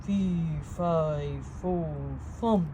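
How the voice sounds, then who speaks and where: A woman as a booming, bossy giant. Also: drawn-out words, lo-fi sound, noisy background. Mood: suspicious, menacing. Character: big gruff giant husband, hungry and bossy.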